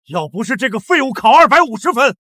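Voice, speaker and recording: A man's voice: very high-pitched, big pitch swings, fast talker, bright, forceful tone, no echo, studio quality, exaggerated voice. An actor playing a blustering, contemptuous middle-aged businessman father in a melodramatic short drama. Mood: furious. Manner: indignant, overbearing.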